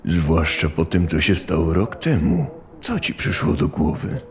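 A man's voice: deep voice, big pitch swings, unhurried. A man playing a stern, sinister pastor in an audio horror story, speaking in a grave, admonishing way. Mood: stern, ominous. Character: sinister, stern male pastor from a drug hallucination.

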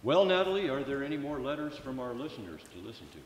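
A man's voice: mid-range voice, sing-song, quick pace, lo-fi sound. A man in his sixties hosting an old-time gospel radio show before a live congregation. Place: large church sanctuary, at a pulpit. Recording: echoey church hall, faint room noise.

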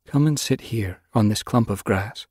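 A man voicing a wise old female cat in an audiobook story, stern but caring, with measured, admonishing speech. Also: on the low side, a little mellow, slightly rough, dry recording, studio quality, voice pushed up. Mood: calm, musing.

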